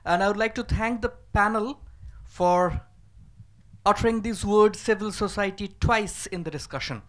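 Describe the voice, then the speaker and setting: A man from a civil society group making a formal, deliberate comment from a conference audience. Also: sits high, a bit bright. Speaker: audience member.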